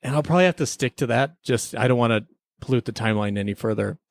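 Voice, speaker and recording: A man's voice: mid-range voice, quick pace, gravelly, no room sound. Man casually hosting a podcast, dry close sound. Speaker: podcast host. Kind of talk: casual episode review chat mixed with playful time-travel skit.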